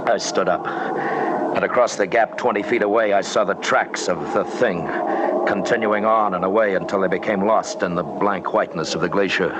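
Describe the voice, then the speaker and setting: A man's voice: sits low, normal speed, lightly acted. A man playing a determined, haunted expedition leader who tells his story in terse, intense old-time radio style. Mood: haunted, suspenseful. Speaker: lead actor and narrator. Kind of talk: dramatic first-person narration, old-time radio acting. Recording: very noisy old radio recording.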